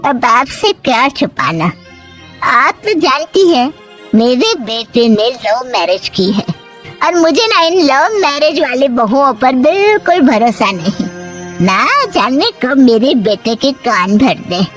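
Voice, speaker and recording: Lady doing a worried grumbly cartoon mother-in-law, really high pitched, super animated and dramatic. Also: slow pace, faint music behind, exaggerated voice, low-quality recording. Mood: worried, grumbling. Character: fretful, suspicious middle-aged Indian mother-in-law. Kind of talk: animated moral story dialogue.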